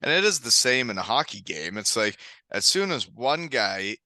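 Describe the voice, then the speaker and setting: A man's voice: mid-range voice, sing-song, normal speed, sharp tone, no echo. A middle-aged man hosting a podcast as a former hockey player, talking casually, candidly and in a rambling way. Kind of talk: casual conversational podcast chat.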